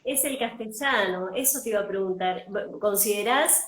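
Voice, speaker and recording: An Argentine-accented woman interviewer at a middle pitch, curious and probing as she chains follow-up questions. Her voice carries a roomy echo. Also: moderate pace, fairly bright tone, slight background noise. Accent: Argentine Spanish. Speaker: interviewer, host. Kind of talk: conversational interview.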